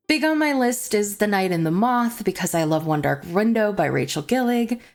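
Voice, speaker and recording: A woman with a slightly low voice talks to her viewers as a book vlogger. She is chatty and enthusiastic, moving between prepared lines and casual asides. Faint music plays behind her. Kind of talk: casual chat to camera, semi-scripted book review.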